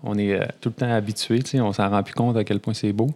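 A man in his thirties with a Saguenay Quebec French accent, hosting a podcast in a relaxed, halting chat full of fillers. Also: lowish voice. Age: 30s. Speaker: podcast host. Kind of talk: casual interview chat.

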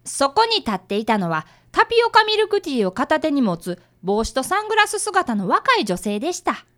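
A woman's voice: high voice, very animated, fairly quick, fairly bright tone. A woman playing a polite, earnest fifth-grade boy who is an apprentice detective and narrates a radio drama. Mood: polite, flustered.

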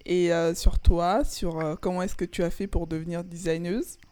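A woman's voice: lowish voice, steady tone, normal speed, mellow. A young woman, a student, hosting a podcast interview with friendly, encouraging reactions. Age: young adult, student. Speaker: podcast host.

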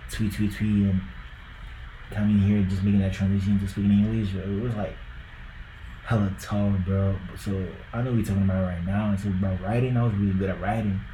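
A young Ghanaian man chats on a podcast in a low, mellow voice that stays fairly flat. His talk rambles, packed with likes and bros. The room echoes around him, with a faint hum behind. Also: brisk. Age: young adult. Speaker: podcast co-host. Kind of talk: casual podcast chat.

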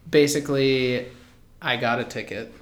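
A man's voice: mid-range voice, fairly even intonation, normal speed. A young man co-hosting a podcast in casual chat, laid-back and matter-of-fact with dry quips. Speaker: podcast co-host. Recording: roomy echo.

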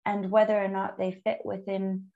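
A woman who is a native English speaker, hosting a conversational interview in a measured, encouraging way with frequent ums. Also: medium pitch, flat delivery, creaky, phone-quality sound. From outside the UK. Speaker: podcast host.

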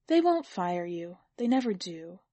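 A woman's voice: on the low side, sing-song, measured pace, warm tone, no echo. A woman reading the part of a put-upon young wife in a story, earnest and pleading under strain. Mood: timid, placating. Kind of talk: dramatic audiobook character reading.

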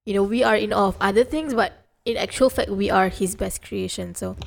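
Woman, podcast host, casual conversational style, reflective, searching for words, middle pitch. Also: a little fast, creaky.

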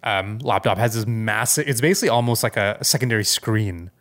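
A man's voice: fairly low pitch, a little fast, a bit bright. A man co-hosting a podcast in a casual, rambling tech chat, informal and full of loose asides. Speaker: podcast co-host.

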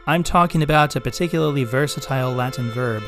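A man hosting a podcast, reading his own written essay aloud in a measured, scholarly way with careful enunciation. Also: mid-range voice, over music. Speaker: podcast host.

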